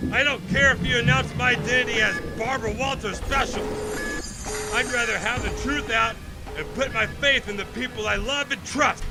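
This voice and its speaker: Man as earnest, wisecracking young hero in audio drama; high-pitched, emphatic, loud score underneath. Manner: emphatic, quippy, defiant. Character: young male superhero, wisecracking, defiant and earnest. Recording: loud music bed behind voice.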